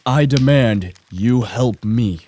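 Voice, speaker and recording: A man's voice: mid-range voice, takes it slowly, a little mellow, slight background noise, lightly acted. A man acting a lonely, vengeful monster in a radio play, speaking in a grave, formal, aggrieved way. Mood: vengeful, demanding. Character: lonely, vengeful male monster created by a scientist. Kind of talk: radio play narration and dialogue.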